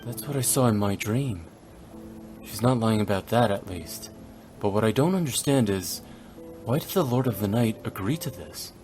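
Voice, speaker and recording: Man acting grave low-voiced young traveler, music behind. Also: animated, a little mellow, natural voice. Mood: pensive, serious. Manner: measured, serious, questioning. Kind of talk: dramatic video game dialogue. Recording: studio voice over background music.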